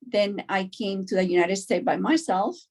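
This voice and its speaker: Middle-aged Cuban-born woman, halting conversational delivery. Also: mid-range voice, animated, darkish tone. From Cuba, later Florida. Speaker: doctor, interview guest. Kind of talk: conversational personal account.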